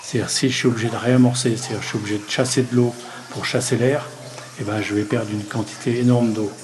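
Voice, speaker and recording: A middle-aged village mayor from Normandy, telling his story in a halting way with many pauses and restarts. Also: medium pitch, fairly even intonation, background noise. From Normandy, France. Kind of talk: interview testimony.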